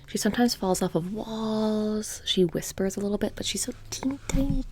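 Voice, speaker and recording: A woman playing a petty, blasé immortal witch shopkeeper in a soft-spoken ASMR roleplay, rambling casually and slipping into whispers. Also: mid-range voice, normal speed, noisy background, lightly acted. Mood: casual, confiding. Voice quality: soft, breathy, creaky. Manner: casual rambling, many "like" fillers, drifting into whispers. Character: blasé, petty immortal witch running a haunted trinket shop.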